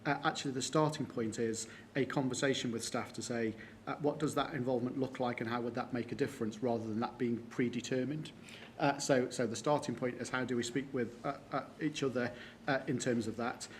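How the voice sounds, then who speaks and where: A man presenting a report at a formal board meeting, halting and full of filler sounds. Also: mid-range voice, steady tone, a little hiss or hum. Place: meeting room.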